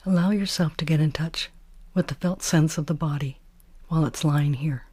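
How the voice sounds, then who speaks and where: A woman leading a guided body scan meditation as a teacher, in a soft, hushed, soothing manner with measured phrasing. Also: low voice, husky, no room sound, clean studio sound.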